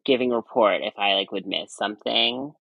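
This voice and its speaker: A young man in his twenties with a high voice, a nurse chatting casually as a podcast guest, rambling with many fillers and restarts. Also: moderate pace, creaky, no room sound, lo-fi sound. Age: 20s. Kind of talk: casual chat.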